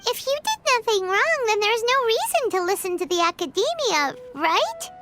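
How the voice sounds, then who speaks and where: A woman voicing a tiny, chirpy and blunt floating fairy companion in a video game, with game music behind her. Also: normal speed. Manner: high, bouncy and excitable.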